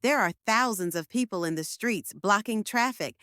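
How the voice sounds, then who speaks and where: A woman's voice: medium pitch, lively intonation, moderate pace, pushed and bright, no echo, studio quality. A woman playing a television news reporter in a fictional emergency broadcast drama. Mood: composed, formal. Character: female TV news reporter panicking in sudden deafening pain.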